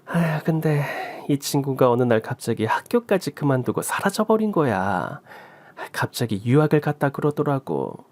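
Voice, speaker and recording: A man's voice: mid-range voice, big pitch swings, normal speed, a little mellow, no room sound. A man voicing an emotional older mother-in-law who sighs, scolds and pleads in a story drama reading. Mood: wistful.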